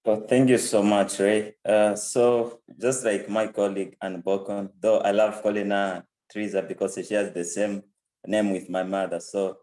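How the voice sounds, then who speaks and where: A man's voice: fairly low pitch, level tone, normal speed, warm tone, low-quality recording. A man in his twenties with a Zambian accent, giving an informal testimonial as a program alumnus. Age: 20s. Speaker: program alumnus and country director.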